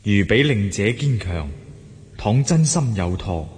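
Slow, reverberant, melancholy young scholar. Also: male voice, fairly low pitch, expressive, darkish tone. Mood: melancholy, yearning. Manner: formal, literary, measured. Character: melancholy, lovelorn young scholar voicing his letter. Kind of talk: Cantonese radio drama letter monologue. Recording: long hall-like reverb on voice.

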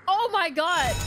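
Young woman, high lively voice, slow-paced casual game reactions, expressive, music behind. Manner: excitable, expressive. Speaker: game streamer. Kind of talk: casual live reaction commentary.

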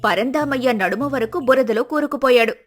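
A woman's voice: sits high, brisk, faint music behind. A woman narrator reading a scripted moral story.

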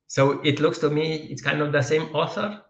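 A man with a Romanian accent hosting an interview over a video call, speaking haltingly as he searches for words. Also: fairly high pitch, level tone, fairly bright tone. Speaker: podcast host. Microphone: headset on video call. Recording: dull, roomy video-call audio.

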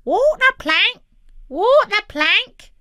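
Very high, screechy pirate parrot. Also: female voice, very animated, drawn-out words, sharp tone, no room sound, studio quality, full character voice. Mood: mocking. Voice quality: screechy, squawking. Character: squawking, cheeky pirate's parrot.

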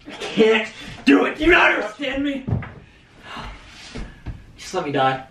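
A man's voice: very high voice, very animated, a little slow, a bit bright, a little hiss or hum, pitched above the performer's own voice, heavily acted. A man acting as a panicking, hysterical young man who claims he is dying, in a strained, breathless voice for a home prank video. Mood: despairing. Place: living room, indoors. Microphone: camera across the room. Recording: echoey room, far from camera.

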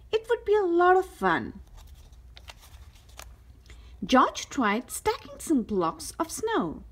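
A woman reading a children's picture book aloud as a storyteller, with careful, expressive delivery. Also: a little high, slightly rough. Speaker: host of a read-aloud story channel.

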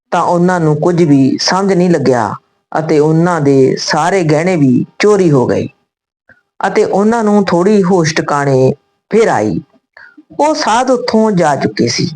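Female storyteller, low register, reads scripted tales and dialogue phrase by phrase. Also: a little mellow.

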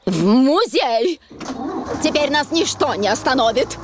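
Cartoon gazelle teacher: high voice, animated melody, brisk, polite, patient. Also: female voice, background noise. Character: gazelle schoolteacher, brisk, patient adult lady. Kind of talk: children's cartoon dialogue.

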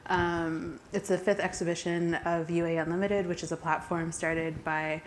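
A woman curator opening a panel talk in a casual, halting way with many fillers. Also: on the low side, level tone, slight background noise. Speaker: curator and moderator. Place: gallery talk space, indoors. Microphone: handheld mic.

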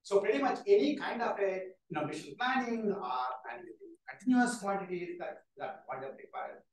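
A man giving a keynote lecture, animated and chatty, often checking in with "right?" and telling jokes. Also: sits high, moderate pace, far from the mic, slight background noise. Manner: animated, tells jokes, frequent "right?" and "you know". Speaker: keynote speaker, professor. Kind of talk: lecture with audience questions. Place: lecture hall. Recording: echoey hall, speaker off-mic.

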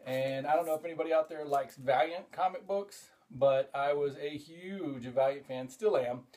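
A man's voice: on the high side, moderate pace, warm tone, slight background noise. A middle-aged man from the United States hosting a casual vlog, rambling enthusiastically with lots of "uh" fillers as he shows items from his collection, recorded by a camera a few feet away in a room full of collectibles. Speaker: comic collector and show host. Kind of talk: casual show-and-tell vlog.

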